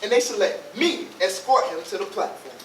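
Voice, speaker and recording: A man's voice: sits high, expressive, moderate pace, fairly bright tone. A man playing a folksy, showy announcer in a staged play, declaiming in Southern folk dialect. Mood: eager. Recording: roomy, distant mic, faint noise.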